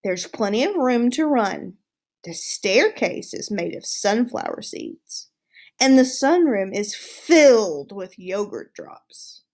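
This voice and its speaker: Disdainful storybook house cat, acted by a woman: female, teasing and superior, unhurried, with expressive pitch swings. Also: creaky, exaggerated voice. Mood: sly, tempting. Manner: condescending, teasing. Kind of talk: storybook read-aloud dialogue.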